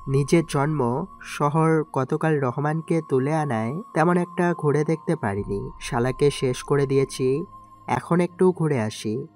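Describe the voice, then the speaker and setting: A man's voice: on the high side, a little slow, a little mellow, soft background music, natural voice. A man playing a cold, mocking young mafia boss in an audio drama, speaking in a commanding way. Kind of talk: Bengali audio story acting.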